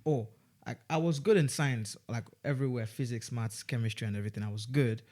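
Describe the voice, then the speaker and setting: A young man with a Nigerian accent talks casually as a guest on a studio podcast. He rambles and restarts his sentences, in a mid-pitched voice. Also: brisk, a little mellow. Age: young adult. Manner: rambling, many restarts and fillers. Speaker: student guest.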